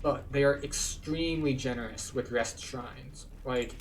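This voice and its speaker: A man's voice: medium pitch, unhurried, far from the mic, rough recording. A man giving casual, explanatory gameplay commentary as a tour guide. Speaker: video game tour guide and player.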